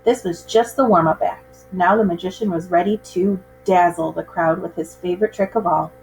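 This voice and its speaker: A woman's voice: fairly low pitch, steady tone, normal speed, a little mellow, rough recording. Woman reading a children's story over music. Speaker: teacher reading a picture book aloud. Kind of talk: expressive children's storybook read-aloud.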